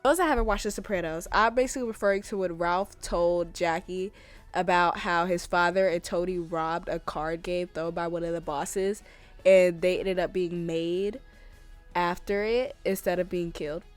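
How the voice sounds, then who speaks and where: A young woman vlogger with a congested cold, chatting at home in a sit-down video and giving opinionated takes full of "like" and sudden animated outbursts. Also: on the low side, moderate pace, soft background music. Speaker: vlogger reviewing a TV series. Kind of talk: casual sit-down chat to camera.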